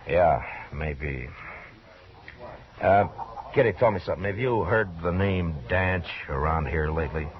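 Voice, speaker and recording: Very deep-voiced, laconic Western lawman. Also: unhurried. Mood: guarded. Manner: laconic, matter-of-fact. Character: steady, wary frontier lawman in a Western. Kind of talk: 1950s radio drama acting and first-person narration. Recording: dull, noisy old radio recording.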